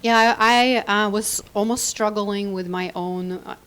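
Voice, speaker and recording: A woman's voice: medium pitch, fairly even intonation, measured pace, a bit bright, smooth. Reflective woman from Ukraine. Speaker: interview guest, animation filmmaker. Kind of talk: casual interview answers.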